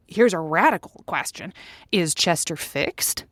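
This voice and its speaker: A woman's voice: on the low side, animated, a little slow, fairly bright tone, no echo, studio quality. A woman playing a thoughtful, sympathetic host of a conversational call-in advice show, with a hesitant, stammering delivery. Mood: amiable, thoughtful.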